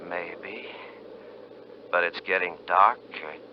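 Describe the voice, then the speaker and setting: A haunting voice of fear inside a hunter's head, slow and insinuating as it whispers warnings. It sits low and drags each phrase out. The sound is muffled, like an old radio broadcast. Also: male voice, fairly even intonation, a little hiss or hum. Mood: menacing. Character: eerie inner voice of caution, male, taunting. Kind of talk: 1950s radio drama acting. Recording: muffled vintage radio recording.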